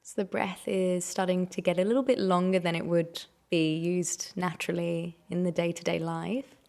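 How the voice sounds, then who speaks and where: A woman leading a guided meditation, giving gentle, unhurried instructions and soft invitations. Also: on the low side, flat delivery, vocal fry.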